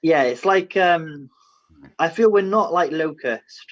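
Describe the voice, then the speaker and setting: A man's voice: on the high side, takes it slowly. A young man on a panel in an online discussion, thinking aloud tentatively and often starting with "I feel". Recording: dull lo-fi audio.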